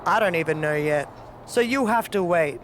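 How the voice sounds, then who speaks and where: A woman's voice: fairly low pitch, expressive, moderate pace, lightly acted. Cocky female champion for a wrestling game, acted by a woman, teasing, self-assured, faint background noise. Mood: smug, teasing. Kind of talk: video game cutscene acting.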